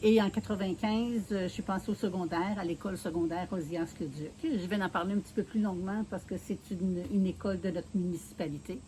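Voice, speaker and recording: A woman's voice: on the low side, steady tone, warm tone, a little hiss or hum, low-quality recording. Earnest Québécoise woman in her sixties. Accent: Quebec French. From Quebec City, Canada. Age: 60s. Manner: measured and earnest, with many filler pauses. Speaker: mayoral candidate being interviewed. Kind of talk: casual interview, telling her life story.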